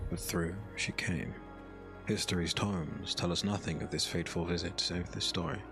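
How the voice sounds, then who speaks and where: A man narrating a scripted dark fairy tale as a storyteller, in a measured, solemn manner. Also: sits low, steady tone, creaky, over music.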